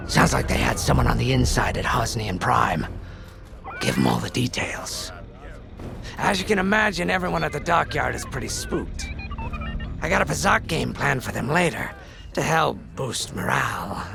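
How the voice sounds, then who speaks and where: A man playing a chatty, gossipy rebel pilot in a space game, talking informally and conspiratorially with a gravelly voice. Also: mid-range voice, big pitch swings, moderate pace, over music. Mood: friendly, wry. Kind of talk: casual in-game character monologue.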